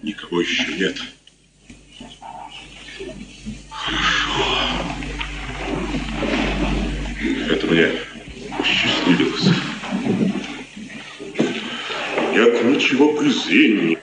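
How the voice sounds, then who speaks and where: Proud old colonel and father, lowish, grave, hot-tempered, on noisy vintage radio. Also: lively intonation, normal speed. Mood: brooding. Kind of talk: 1950s radio play acting. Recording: noisy, roomy 1950s radio recording.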